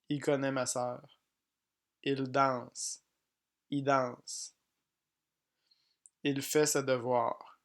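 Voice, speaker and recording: A man teaching pronunciation, reading each example sentence slowly and plainly, often twice. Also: medium pitch. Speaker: French language teacher. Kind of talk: slow pronunciation drill.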